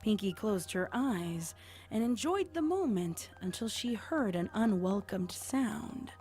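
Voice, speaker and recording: A woman's voice: mid-range voice, lively intonation, slow, darkish tone. A woman reading scripted fan fiction as the story's narrator. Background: music.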